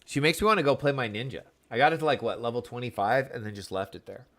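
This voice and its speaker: A man's voice: medium pitch, fast talker, a bit bright. A man chatting casually and enthusiastically as he streams a video game, using slang and talking to viewers.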